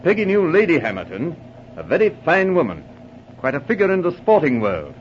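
Animated male voice, hearty chief constable, clubby old-fashioned manner, 1940s radio acting, dull recording with hiss. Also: on the high side, a little fast. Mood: brisk. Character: hearty English county chief constable, former army officer.

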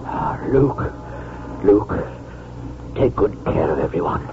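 An actor playing a dying old village doctor in a 1940s radio drama, weak, gasping, tender and pleading. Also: male voice, deep voice, sing-song, slow, heavily acted. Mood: failing, pleading. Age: older. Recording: muffled 1940s radio, loud music.

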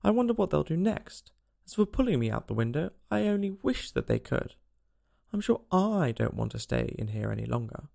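A man's voice: fairly high pitch, very animated, normal speed, gravelly, a little mellow, exaggerated voice. A man voicing a puzzled young girl who muses wistfully and chides herself, in a storybook reading. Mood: puzzled, determined. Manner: wistful, self-chiding.

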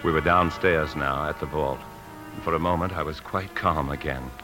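A man's voice: very deep voice, fairly even intonation, moderate pace. An American man acting in a 1950s radio drama as an anxious bank officer secretly stealing a fortune, tense and confiding as he narrates. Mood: tense narrating. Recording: 1950s radio broadcast with music.

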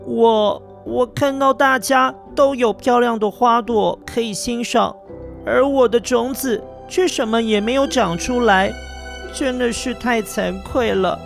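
A man's voice: extremely high pitch, a little slow, vocal fry, soft background music, voice pushed up, exaggerated voice. A man voicing an earnest, humble young boy who speaks politely and apologetically in a children's storybook tale. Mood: humble, apologetic.

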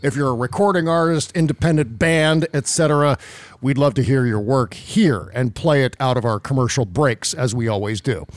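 A man's voice: medium pitch, moderate pace, no echo. A man hosting a podcast, talking casually and emphatically about politics, opinionated and given to swearing. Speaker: podcast host.

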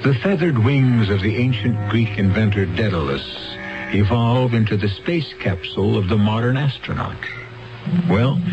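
Mystery series host: American male, lowish pitch, measured theatrical narration, music beneath. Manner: measured, portentous. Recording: dull vintage radio recording.

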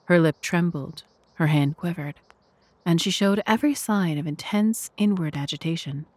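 A woman giving measured, formal narration of a scripted literary story. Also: on the low side, a little mellow, no echo.

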